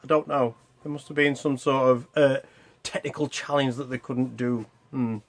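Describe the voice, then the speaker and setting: A man's voice: mid-range voice, flat delivery, moderate pace. A man hosting a retro gaming vlog in a casual, unscripted way, rambling and hesitant as he interrupts himself. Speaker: host of a retro gaming video channel.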